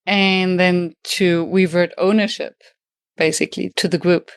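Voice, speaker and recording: A woman with a German accent hosts the conversation in a slightly low voice, recorded dry and close. She thinks aloud quickly and restarts her phrases along the way. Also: fairly even intonation, clean tone, dry recording. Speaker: podcast host and facilitation trainer. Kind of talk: conversational interview.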